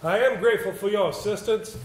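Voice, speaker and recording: A troubled, formal gentleman, played by a man, high in pitch and uneasy. It is live stage acting in an echoey hall with a little noise. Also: a little fast, natural voice. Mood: anxious, sombre. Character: haunted, anxious, formal gentleman, reluctant amateur performer. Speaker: amateur stage actor. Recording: echoey hall, stage play recording with hiss.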